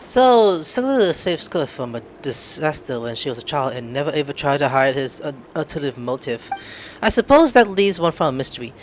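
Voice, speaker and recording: A man giving casual game commentary, excitable and chatting to himself as he plays. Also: fairly high pitch, a little fast, background noise. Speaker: gaming content creator.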